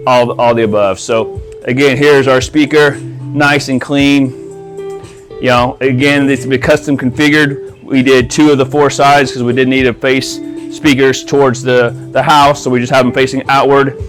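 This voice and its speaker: A man presenting his audio installation work in a casual, enthusiastic walkthrough, talking informally to viewers outdoors. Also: mid-range voice. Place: outdoors by a pool and patio.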